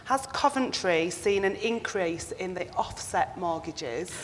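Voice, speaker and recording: A woman with a fairly low voice moderates a panel, brisk and to the point as she steers questions. Her voice rings with long hall reverb over a faint background hiss. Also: lively intonation. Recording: echoey hall, faint noise.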